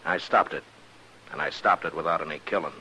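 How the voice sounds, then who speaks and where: Very low male voice, weary but firm western marshal, plain-spoken, radio drama, faint hiss. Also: pushed and bright, low-quality recording. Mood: firm, unyielding. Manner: plain-spoken, measured, folksy. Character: firm, weary frontier US Marshal, steady and unflinching. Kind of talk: old-time radio western acting. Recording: hissy old radio recording.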